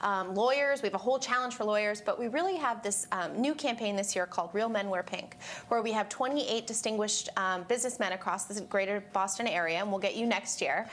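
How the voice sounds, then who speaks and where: A woman's voice: medium pitch, a little fast, fairly bright tone. Woman, charity organizer, upbeat, explaining, faint background noise. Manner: fluent, upbeat, filler "um". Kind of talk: explaining informally. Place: TV studio.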